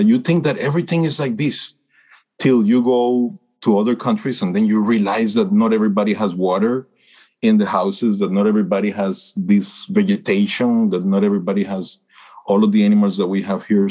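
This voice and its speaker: A man's voice: lowish voice, warm tone. Costa Rican man in his fifties. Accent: Costa Rican Spanish. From Costa Rica. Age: 50s. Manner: hesitant, many fillers and restarts, earnest. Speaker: birding guide. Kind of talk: interview answers.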